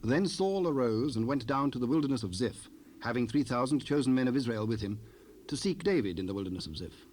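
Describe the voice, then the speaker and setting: Measured male Bible narrator, medium pitch. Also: lively intonation, darkish tone. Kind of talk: scripted scripture narration, King James Bible. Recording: unprocessed cassette rip with noise.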